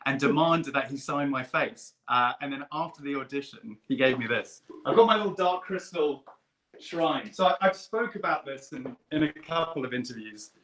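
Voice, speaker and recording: A man telling eager, rambling stories on a video call, like an excited fan. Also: mid-range voice, normal speed, poor audio. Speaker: guest puppeteer and performer. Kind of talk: casual chat.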